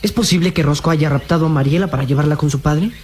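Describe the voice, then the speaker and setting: A man's voice: fairly high pitch, fast, darkish tone, slight background noise, lightly acted. A male performer playing a timid, devoted boy sidekick in a radio serial, anxious and earnest. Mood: fearful. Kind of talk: old radio serial acting.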